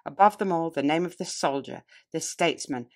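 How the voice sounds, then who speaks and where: A woman narrating a nonfiction audiobook as scripted reading, in a deliberate, emphatic, oratorical way. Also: low-pitched, darkish tone. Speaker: audiobook reader. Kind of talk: scripted narration of a public-speaking textbook.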